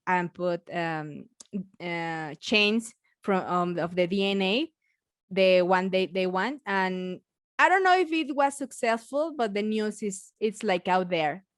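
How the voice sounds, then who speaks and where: A woman with a Mexican accent, a biologist chatting casually as a podcast guest, halting with many restarts and frequent laughs. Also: medium pitch, lively intonation, no room sound, clean studio sound. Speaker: biologist guest and science communicator.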